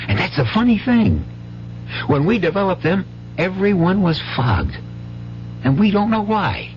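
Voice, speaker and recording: Earnest young intern, muffled radio. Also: male voice, high voice, very animated, measured pace, slight background noise. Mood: baffled. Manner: grave, earnest. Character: earnest, worried young male hospital intern. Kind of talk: 1970s radio drama acting. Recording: muffled vintage radio broadcast.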